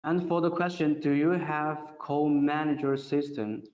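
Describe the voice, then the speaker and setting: A man who is a non-native English speaker, answering audience questions as a webinar presenter, haltingly, in simple phrases. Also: on the high side, fairly even intonation. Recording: muffled audio in an echoey, reverberant room.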